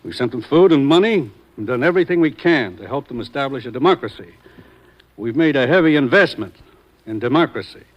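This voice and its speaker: A stern American spy chief in a vintage radio play , acted by a man. He sounds businesslike and authoritative, giving orders in a medium-pitched voice. The old recording is dull and band-limited. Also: expressive, fairly quick, lightly acted. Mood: grave, businesslike. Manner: authoritative, clipped. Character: stern, businesslike male government commissioner. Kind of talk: 1950s radio drama acting.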